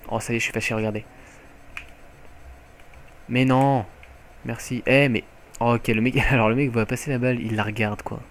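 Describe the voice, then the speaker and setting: Excitable French gamer with headset mic. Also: male voice, mid-range voice, normal speed, long pauses, a little hiss or hum. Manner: excitable, swearing, jokey asides. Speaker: gaming commentator. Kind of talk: live gameplay commentary. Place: at home.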